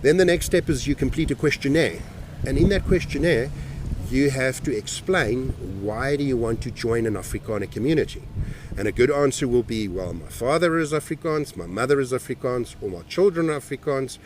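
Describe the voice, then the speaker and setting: A man calmly explaining, step by step, in an interview. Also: medium pitch, a little fast, background noise. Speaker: community representative.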